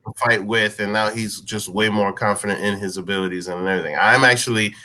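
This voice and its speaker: A man on a film review panel debating casually and insistently, halting and repeating his phrases as he makes his point. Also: on the low side, fairly even intonation, bright, forceful tone.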